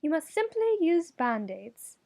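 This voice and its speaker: A woman voicing a kindly, formal young woman who gives earnest advice in measured, sincere phrases. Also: very high voice, very animated, a little mellow, voice pushed up, exaggerated voice. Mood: helpful, earnest.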